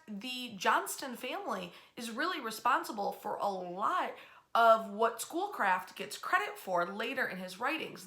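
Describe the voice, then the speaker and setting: A woman's voice: on the high side, bright, forceful tone. A woman telling history informally to an audience online, conversational, enthusiastic and emphatic in her delivery. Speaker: history storyteller and tour club host. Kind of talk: informal storytelling history lecture to camera. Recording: roomy, echoey room sound.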